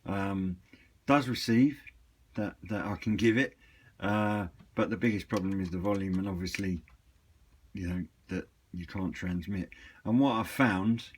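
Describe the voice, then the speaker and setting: A man giving a casual, unscripted product walkthrough in a hesitant, rambling, matter-of-fact way, with a slightly husky voice. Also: sits low, measured pace. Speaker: hobbyist reviewer and seller of used CB radios.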